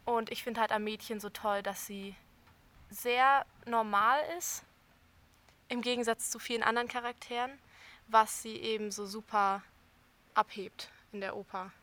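A woman's voice: a little high, steady tone, unhurried, bright, forceful tone. A young woman, a soprano from Berlin, answering interview questions thoughtfully and searching for words. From Berlin, Germany. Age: young adult. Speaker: soprano singer.

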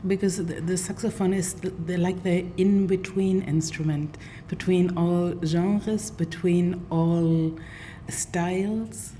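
A woman interviewer asking measured, thoughtful questions. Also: lowish voice, fairly even intonation, warm tone. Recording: faint background noise.

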